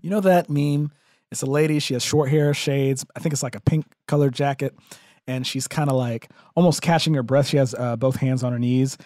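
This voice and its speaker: A man co-hosting a comedy podcast, playful and quick to laugh. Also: medium pitch, no echo, studio quality. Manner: playful, animated, laughs often. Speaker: podcast co-host.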